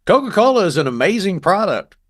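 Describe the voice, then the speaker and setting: A man's voice: high voice, brisk, no echo, clean studio sound. A man from Texas co-hosting a podcast, telling anecdotes in a casual, joking way like a former radio presenter. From Texas, United States. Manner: animated, joking, acts out quoted dialogue.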